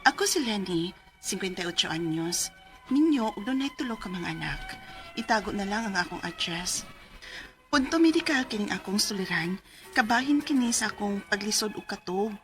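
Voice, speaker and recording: A woman's voice: medium pitch, animated, normal speed, fairly bright tone, soft background music, natural voice. A woman acting a caring wife and mother in her fifties, with a Cebuano Filipino accent, in a radio drama. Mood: earnest narrating. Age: 50s. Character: Lenny, caring 58-year-old wife and mother telling her story. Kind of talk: Bisaya radio drama acting and letter narration.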